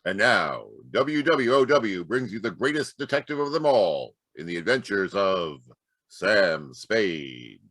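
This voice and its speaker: A man's voice: lowish voice, very animated, unhurried, fairly bright tone. A man announcing a radio show in a scripted, grand, theatrical 1940s style.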